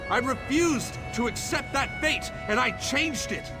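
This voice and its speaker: A man's voice: very high voice, lively intonation, a little slow, exaggerated voice. A man voicing a hopeful, grateful young townsman in video game dialogue, speaking earnestly and with a declamatory turn. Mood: defiant, rousing. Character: hopeful, grateful young townsman defying fate and rallying his people. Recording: background music under the voice.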